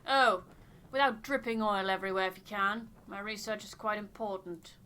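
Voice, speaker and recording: A woman's voice: mid-range voice, moderate pace, sharp tone, a little hiss or hum, close to an everyday voice. A woman voicing a formal, self-important expedition researcher in a game, precise and fussy. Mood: fussy, earnest.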